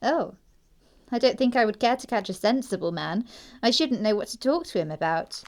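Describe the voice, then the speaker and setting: A woman's voice: medium pitch, expressive, moderate pace, natural voice. A woman playing a prim, naive yet pert young upper-class English girl in an audio stage comedy, speaking with artless precision. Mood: teasing, earnest.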